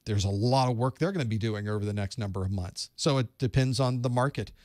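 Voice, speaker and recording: A man's voice: fairly low pitch, quick pace, a little mellow, no room sound, clean studio sound. A man hosting a live call-in study group, giving advice in a conversational way and thinking aloud. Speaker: host of a certification study group.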